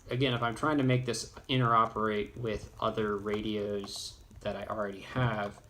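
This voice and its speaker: A man presenting an informal, off-the-cuff software tutorial, halting and rambling as he thinks aloud. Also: fairly low pitch, level tone. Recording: clearly noisy, with keyboard clicks.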